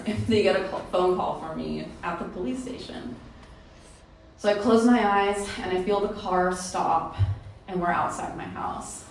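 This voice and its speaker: A woman telling a personal story to a live audience in a casual, self-mocking way. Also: on the low side. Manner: casual, conversational, laughing at herself. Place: live event hall. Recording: very echoey room, mic from far off, faint noise.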